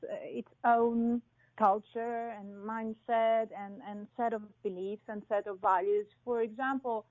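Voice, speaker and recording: A woman from Greece, a guest in an interview, talking slowly and thoughtfully with many pauses and fillers. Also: fairly high pitch, fairly even intonation, a little mellow, highs cut off. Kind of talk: interview conversation.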